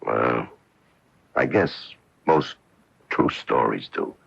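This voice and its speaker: Very deep gravelly police lieutenant. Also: male voice, animated, very slow, a bit bright, no room sound, exaggerated voice. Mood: gruff consoling. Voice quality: gravelly, husky. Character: gruff male police lieutenant, consoling and world-weary. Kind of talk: radio mystery drama acting. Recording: dull lo-fi AM radio broadcast.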